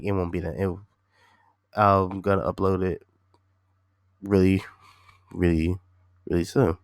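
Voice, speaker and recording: A fairly low-pitched man talks through his solo podcast, his voice nasal and stuffed up from a cold. He rambles easily, circling back and repeating himself, and the close, dry recording keeps his voice clean and smooth. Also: dry recording. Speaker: podcast host. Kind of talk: casual solo podcast talk.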